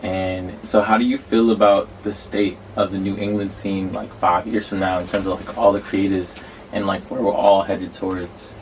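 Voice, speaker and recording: A man's voice: deep voice, little pitch movement, moderate pace. A man interviewing a musician, asking laid-back, slangy, rambling questions. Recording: distant mic with faint background noise.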